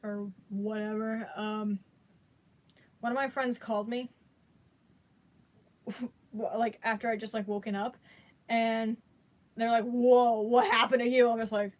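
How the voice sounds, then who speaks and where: A woman's voice: on the high side, little pitch movement, a little slow, pauses often, darkish tone. A woman chatting casually to her viewers, rambling with many fillers and restarts. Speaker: vlogger.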